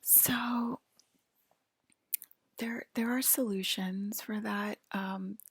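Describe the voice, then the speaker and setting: A woman doing an ASMR roleplay in a breathy whisper and soft talk, reassuring the listener like a clinician and often checking in. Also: mid-range voice, fairly even intonation, drawn-out words, no room sound. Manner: hesitant, reassuring, clinical patter with frequent checking "okay?".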